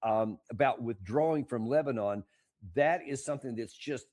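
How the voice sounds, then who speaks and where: A man commenting on politics in a halting, incredulous way, heard quietly as the original track under a dub. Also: medium pitch. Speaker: host of a political commentary show.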